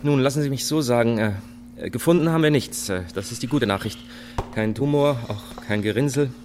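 An actor playing a cautious hospital doctor in a radio drama, measured and hesitant, hemming as he explains. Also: medium pitch, a little mellow, a little hiss or hum, natural voice. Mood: cautious, explaining. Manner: hesitant, measured, with many hems. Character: cautious male hospital doctor, procedural and evasive. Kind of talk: German radio drama acting.

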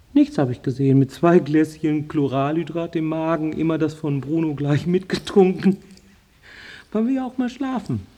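An agitated male patient in a psychiatric ward for a radio play. His voice is a little husky and jumps up and down in pitch. He speaks slowly in broken, insistent fragments, always defending himself. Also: dark tone, full character voice. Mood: evasive, rambling. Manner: rambling, fragmented, insistent self-justification. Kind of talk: radio drama monologue.

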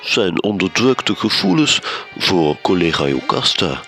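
Male actor as sardonic narrator, lowish pitch, sharp forceful tone, deadpan, pompous, radio drama. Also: unhurried, faint music behind, heavily acted. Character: dry, sardonic male storyteller.

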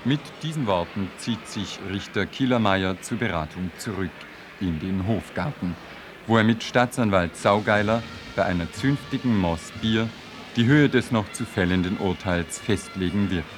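A man's voice: lowish voice, moderate pace, noisy background. A man narrating a satirical radio play in a dry, ironic tone.

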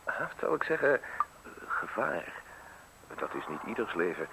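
An actor in a radio play voicing a modest, wordy public prosecutor who speaks with formal, excessive politeness and sly insinuation. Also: male voice, low-pitched, sing-song, measured pace, vocal fry, pushed and bright, heavily acted, poor audio. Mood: prying, insinuating. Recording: hissy old radio play recording.

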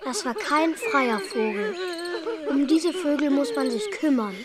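A woman's voice: high-pitched, animated, unhurried, over a noisy crowd. A female performer playing a serious, earnest young boy, grown-up beyond his years, in a radio drama. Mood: reproachful.

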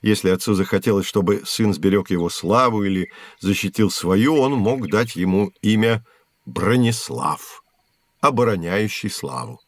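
Patient teacher guy explaining to kids, kinda low voice, storyteller delivery, educational audio show. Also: animated, moderate pace, dry recording. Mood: patient, explanatory. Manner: explanatory, storytelling, clearly phrased. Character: patient, knowledgeable male teacher guiding two children. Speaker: teacher and narrator. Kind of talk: scripted educational narration for children.

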